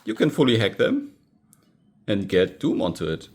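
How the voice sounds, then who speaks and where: A man casually narrating a hands-on gadget teardown as a video host, informal and halting, often pausing mid-sentence. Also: on the low side, huge pitch swings, a little mellow. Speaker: tech hobbyist video host.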